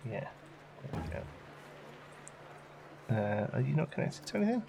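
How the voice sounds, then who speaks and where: A man's voice: fairly high pitch, sing-song, normal speed, creaky, noisy background. A man giving casual gameplay commentary, chatting and musing aloud as he questions his own choices, as a let's play host.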